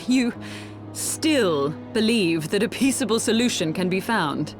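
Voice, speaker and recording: An actress playing a proud, solemn rebel priestess in a fantasy video game, speaking in stern, formal phrases. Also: female voice, medium pitch, expressive, measured pace, music in the background, close to an everyday voice.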